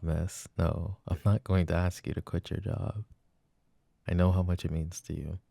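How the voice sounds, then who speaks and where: A man's voice: deep voice, gravelly, a little mellow, no echo, clean studio sound. A young man playing an apologetic, adoring jealous boyfriend in an intimate roleplay, speaking in a casual, hesitant murmur. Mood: proud, affectionate. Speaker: voice actor.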